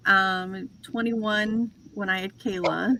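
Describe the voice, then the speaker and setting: A woman's voice: mid-range voice, fairly even intonation, slow, bright, forceful tone, slight background noise, low-quality recording. A woman in her forties hosting a casual livestream chat, talkative and self-deprecating, laughing often as she tells stories. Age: 40s. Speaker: host of a reseller livestream.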